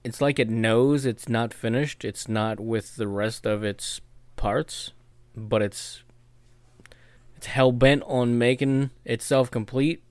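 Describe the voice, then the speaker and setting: A man's voice: mid-range voice, steady tone, slow, clean tone, no echo. A man co-hosting a podcast in a casual chat, cracking wry jokes. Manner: joking, wry asides. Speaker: podcast co-host.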